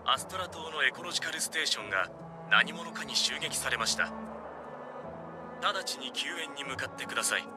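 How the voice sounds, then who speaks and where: Man voicing a lively, formal little robot caretaker. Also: medium pitch, a little fast, lightly acted. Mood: urgent alert. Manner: formal, courteous, announcement-like phrasing. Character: small polite helpful robot island caretaker. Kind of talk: Japanese anime game dub acting. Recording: game music behind.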